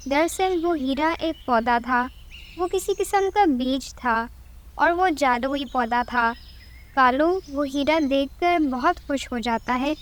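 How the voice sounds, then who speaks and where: Slow, high-voiced woman narrating a story. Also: slight background noise. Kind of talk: scripted children's story narration.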